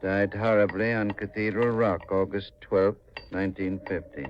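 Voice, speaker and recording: A man's voice: on the low side, steady tone, moderate pace, lightly acted. An actor playing a plain-spoken, fatherly older village man in a 1950s radio drama, with a rustic manner. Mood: disapproving. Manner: folksy, rustic. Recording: muffled 1950s radio recording, faint noise.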